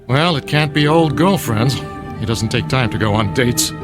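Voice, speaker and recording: An actor playing a loyal, teasing drinking buddy in a radio drama, talking in a casual, colloquial way. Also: male voice, mid-range voice, animated, moderate pace, over music, natural voice.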